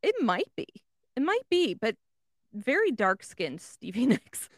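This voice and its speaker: A woman's voice: a little high, wildly swooping pitch, a little slow, no echo, clean studio sound. A woman co-hosting a podcast, casually relaying odd news in an amused, informal and sweary way. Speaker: podcast co-host.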